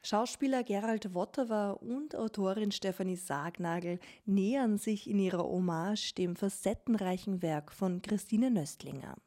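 A woman radio reporter narrating a cultural feature in an even, measured way. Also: medium pitch. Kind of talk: scripted narration.

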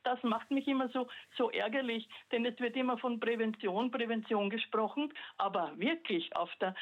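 Insistent woman caller, lecturing tone, dull phone sound. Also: mid-range voice. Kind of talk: call-in radio comment. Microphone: telephone line. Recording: muffled phone line.

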